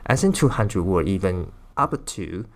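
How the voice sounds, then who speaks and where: A man whose first language is Chinese, giving a casual language-learning talk in English, halting with frequent fillers and restarts. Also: low voice, sing-song, very noisy. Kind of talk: casual talking-head explainer.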